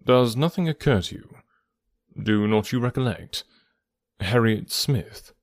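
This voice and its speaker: A man voicing a composed, grave gentleman who speaks in a measured, formal way in an audiobook. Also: medium pitch, dry recording, studio quality, lightly acted. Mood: probing. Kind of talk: audiobook character dialogue.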